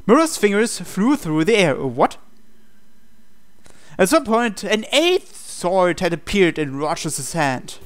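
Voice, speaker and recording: A man's voice: high voice, sing-song, slow pace. A man reading a visual novel aloud as a let's player, with theatrical storytelling and casual asides. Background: game music.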